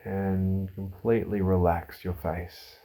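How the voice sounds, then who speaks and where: A man leading a guided meditation as a mindfulness teacher, giving calm, soothing, unhurried instructions with pauses. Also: deep voice.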